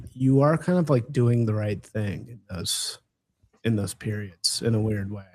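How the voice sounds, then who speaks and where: A man in his thirties hosting a podcast, chatting casually with friends, excitable and incredulous, laughing often and swearing freely. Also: mid-range voice. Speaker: podcast host. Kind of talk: casual banter among friends.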